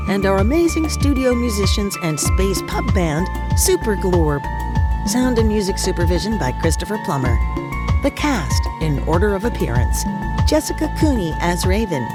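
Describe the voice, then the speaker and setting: Woman announcer over loud music. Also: mid-range voice. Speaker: announcer reading credits. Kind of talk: scripted narration.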